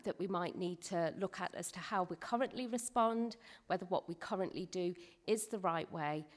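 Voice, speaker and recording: Snuffly woman presenting to a committee. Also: medium pitch. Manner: careful and measured, with some hedging. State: snuffly from hay fever. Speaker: presenting officer. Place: council meeting room.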